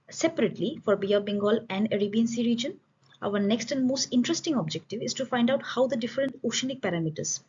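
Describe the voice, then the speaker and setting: A dull, lo-fi recording carries a woman's husky voice. She presents research in a formal, explanatory way, phrasing it haltingly. Her pitch sits in the middle range for a woman. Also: darkish tone. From India. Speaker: student researcher presenting a study. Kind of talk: academic conference presentation.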